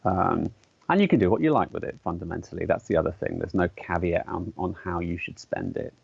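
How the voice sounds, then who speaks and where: A man with a fairly low voice explains his ideas as an interview guest. He talks thoughtfully, stopping to restart and filling pauses with ums. The sound is dry and a little dull, like a lo-fi recording. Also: creaky, slightly rough, dry recording. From English-speaking country. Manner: thoughtful, hesitant, many fillers and restarts. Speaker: artist and educator, guest.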